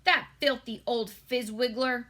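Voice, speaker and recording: A woman's voice: a little high, steady tone, unhurried, pushed and bright. A woman voicing a huge, kindly, tearful male storybook giant with childlike, mangled folksy grammar and tender exclamations. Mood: indignant.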